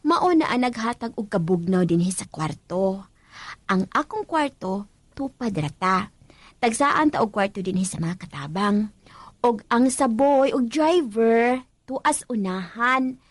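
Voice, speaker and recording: A woman's voice: a little high, unhurried, dry recording. A woman with a Filipino Cebuano accent acting a bossy, gossipy senior housemaid in a radio drama. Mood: matter-of-fact, explaining. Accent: Filipino, Cebuano-speaking. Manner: animated, chatty, admonishing.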